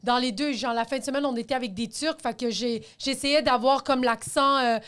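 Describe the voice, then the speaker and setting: Une jeune humoriste de Montréal, coanimatrice d'un balado, qui raconte avec expressivité et rit facilement. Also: female voice, on the high side, a little fast, clean tone. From Montreal, Quebec. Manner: expressive, laughs easily, exaggerates voices for effect. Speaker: podcast co-host, comedian. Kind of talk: casual podcast chat.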